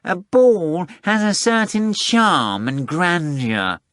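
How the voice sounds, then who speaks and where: A man's voice: high-pitched, sing-song, slow pace, fairly bright tone, no echo, studio quality, voice pushed up, full character voice. A man voicing a boastful, theatrical talking tomcat with a pompous, mock-dignified manner. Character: boastful talking male cat, sly and theatrical.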